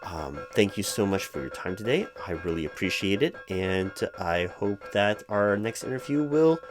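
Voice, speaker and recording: A man acting out a flustered, officious hiring manager in a comedic one-sided audio roleplay, halting and stammering as he speaks. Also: lowish voice, lively intonation, over music. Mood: flustered, apologetic. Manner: halting, stammering, fussy and bureaucratic. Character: flustered, exasperated, officious male hiring manager, distracted, apologetic.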